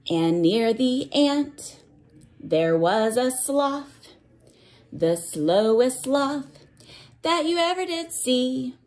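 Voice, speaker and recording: A woman reading a picture book to young children and explaining it in simple words, with a sing-song, measured cadence. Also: a little high, darkish tone. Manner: simple, instructive phrasing aimed at young children. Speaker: read-aloud teacher for young children.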